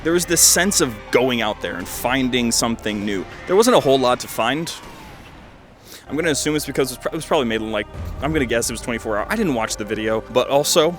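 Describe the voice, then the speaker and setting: A man's voice: mid-range voice, lively intonation, quick pace, faint music behind. A man giving casual, rambling video commentary as he reviews games, with dry joking asides and frequent self-interruptions. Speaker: video creator reviewing fan-made games.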